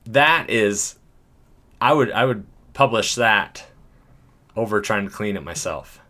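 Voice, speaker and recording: A man with a deep voice, talking casually and thinking aloud as he walks through an editing tutorial, chuckling now and then. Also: animated, slow, bright, forceful tone. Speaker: video creator.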